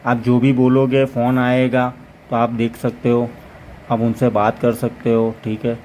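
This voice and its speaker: A man talks through a gadget demo in a flat, even tone, rambling from point to point. His voice is mid-pitched and sits over faint background ambience. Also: measured pace, a little hiss or hum. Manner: rambling, repeats "plus" between points. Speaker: motorcycle vlogger. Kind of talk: casual product demo.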